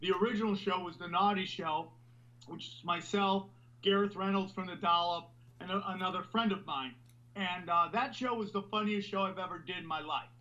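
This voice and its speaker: A man hosting a podcast, talking loudly and animatedly in a rambling, casual way. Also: high voice, normal speed, muffled recording. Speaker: podcast host.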